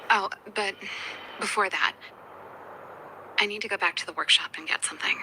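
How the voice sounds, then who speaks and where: An actress voicing a reflective, candid adult sister in a video game, with a breathy, husky voice and a measured, gently wry, confiding way of talking. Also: female voice, medium pitch, noisy background, natural voice. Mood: reassuring. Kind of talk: English video game voice acting.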